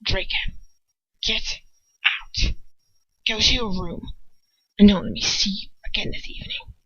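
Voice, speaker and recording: A woman's voice: mid-range voice, measured pace, pushed and bright, slightly rough, dry recording. A woman voicing a cold, furious, domineering wizard father in a story reading, with stern clipped commands and hissed contempt. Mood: stern fury. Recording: dull lo-fi home recording.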